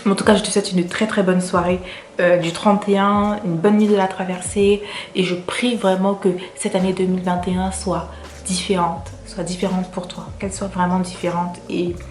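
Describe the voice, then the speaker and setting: A woman with a French accent talks to her viewers in a relaxed vlog, her voice a little low for a woman and stacking repeated words for emphasis. The room is echoey, with a faint hum of ambience behind her. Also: normal speed. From Cameroon. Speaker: vlogger. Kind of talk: casual vlog chat.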